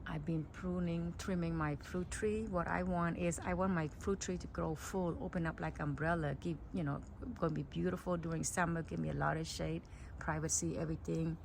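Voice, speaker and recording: A middle-aged woman from Cambodia giving a relaxed, chatty tour of her backyard garden, outdoors on a phone mic. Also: deep voice, normal speed, vocal fry, slight background noise. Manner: relaxed, chatty, simple phrasing. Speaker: gardening vlogger.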